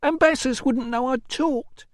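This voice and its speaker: A very high, tearful young woman, as a male narrator acts her out, an enslaved mother in an audiobook. She is distraught and bitter, wailing her accusations in a close, dry recording. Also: measured pace, dry recording.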